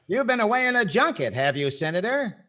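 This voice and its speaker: A man's voice: high voice, very animated, fairly quick, heavy background noise. An older man with an American accent acting a wry, wordy, playfully pompous host in 1940s radio comedy style.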